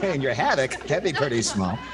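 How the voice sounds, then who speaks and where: A man's voice: mid-range voice, big pitch swings, fairly quick, a loud crowd. An older man playing a wry, inquisitive comedy host in 1940s radio style, echoing lines as straight man. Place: indoors on a video call.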